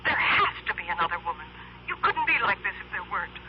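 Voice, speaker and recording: An actress playing a distraught, worn-out wife on the phone in a 1970s radio drama, anxious and accusing. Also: female voice, lowish voice, very animated, fast. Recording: dull old radio broadcast with faint hiss.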